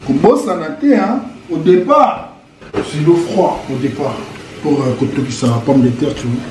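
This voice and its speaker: A man's voice: a little high, moderate pace, background noise. A man from Congo, cooking at home and chatting loosely, leaning on "voilà" and chuckling as he explains. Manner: relaxed, repeats "voilà" a lot, chuckles while explaining. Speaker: home cook. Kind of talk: casual cooking video chat. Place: home kitchen. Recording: echoey kitchen with music playing.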